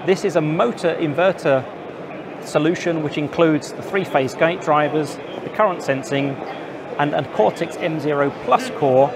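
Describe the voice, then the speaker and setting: Man presenting on a noisy trade show floor. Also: on the high side. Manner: clear, informative presenting to camera. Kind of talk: scripted trade show reporting. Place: busy indoor trade show hall. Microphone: lapel mic.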